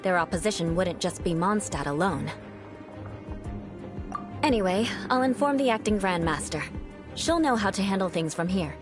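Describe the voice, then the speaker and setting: A woman's voice: medium pitch, expressive, normal speed, pauses often, lightly acted. Woman playing a grandiose, haughty young avenger. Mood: serious. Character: proud, theatrical young noblewoman fighter, haughty but secretly caring. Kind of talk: anime-style video game voice acting. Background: game music.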